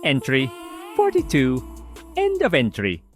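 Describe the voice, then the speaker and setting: Male actor as paranoid, meticulous archivist dictating a drama log; formal, clipped, huge pitch swings, music beneath. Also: huge pitch swings, measured pace. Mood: dry, uneasy. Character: meticulous secretive male file-keeper, dry and paranoid.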